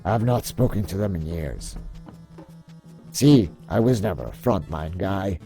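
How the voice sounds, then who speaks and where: Wistful military pen-pusher played by a man: deadpan comic-dub delivery, mellow voice, faint music underneath. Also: low voice, measured pace, gravelly, heavily acted. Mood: wistful, rueful. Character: earnest, wistful human G.I. Joe paperwork officer. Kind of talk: amateur comic dub voice acting.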